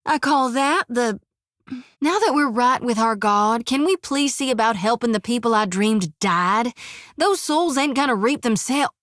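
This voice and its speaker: An actress voicing a folksy, earnest young priestess of a death god in a video game, with a drawl, rambling and plainspoken. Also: female voice, fairly high pitch, animated, unhurried, a bit bright, no echo, studio quality.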